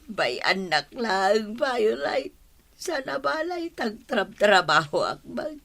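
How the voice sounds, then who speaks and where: Bright, plaintive, lovesick village woman. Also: a little high, very animated, slow, gravelly, full character voice. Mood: pining, whiny. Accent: Filipino, Ilocano. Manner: plaintive, wailing. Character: pining, whiny, lazy village woman, lovesick and pitiful. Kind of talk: comedy radio drama acting.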